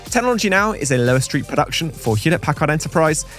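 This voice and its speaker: A man's voice: fairly high pitch, sing-song, fast talker, fairly bright tone, soft background music. A man co-hosting a podcast, reading scripted segments in a conversational way with wry, chatty asides. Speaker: podcast co-host.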